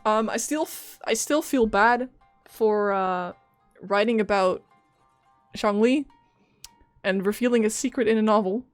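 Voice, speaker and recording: A woman's voice: mid-range voice, a little slow, dry recording. A woman game streamer chatting casually and playfully as she reacts to a story game on a close mic. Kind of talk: casual reactions and chat over a game playthrough. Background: faint game music.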